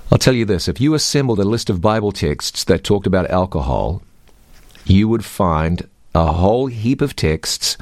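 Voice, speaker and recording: A man's voice: fairly low pitch, darkish tone, no room sound, clean studio sound. A man hosting a radio Bible question show, speaking in an earnest, emphatic preaching manner.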